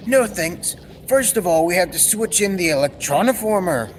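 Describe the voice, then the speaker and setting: A man's voice: sits high, moderate pace. A young man playing an earnest, proud scientist inventor in an old-time radio serial, explaining things formally. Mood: focused. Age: teenager or young adult. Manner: formal, explanatory, technical delivery. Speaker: student actor. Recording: reverberant hall, steady background noise.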